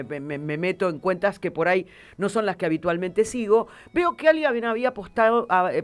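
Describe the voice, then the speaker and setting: A woman with a Rioplatense accent hosting a radio interview, chatty and curious, quick to agree and to share her own view of history. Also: fairly low pitch. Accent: Rioplatense Spanish.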